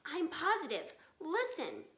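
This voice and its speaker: A woman's voice: extremely high pitch, wildly swooping pitch, unhurried, fairly bright tone, echoey room, voice pushed up, heavily acted. A woman voicing a brave father fox in a storybook, exclaiming and giving orders urgently. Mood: alarmed, urgent. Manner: exclamatory, commanding.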